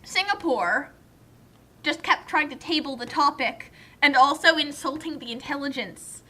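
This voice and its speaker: A woman's voice: sits high, lively intonation, a little slow, sharp tone. A young adult woman giving casual comedic commentary in an emphatic, nerdy, argumentative way.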